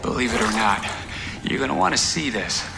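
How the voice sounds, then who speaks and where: An actor playing an eccentric, giddy male tinkerer in a video game, with a halting, theatrical manner. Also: mid-range voice, animated, vocal fry, low-quality recording. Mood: uneasy, eager. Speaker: side character in a zombie game. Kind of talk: cinematic game voice acting. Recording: game music underneath.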